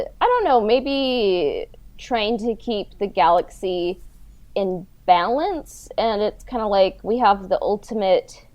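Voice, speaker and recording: A woman's voice: mid-range voice, warm tone. A woman in casual conversation, talking informally as the creator of an audio drama. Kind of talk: casual chat about creative work. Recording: slightly dull lo-fi audio.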